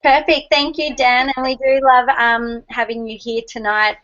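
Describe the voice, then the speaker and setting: A woman's voice: a little high, little pitch movement, moderate pace, a bit bright. A woman hosting a web show, introducing guests in an upbeat, welcoming way over a video call. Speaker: host. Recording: dull lo-fi video call audio.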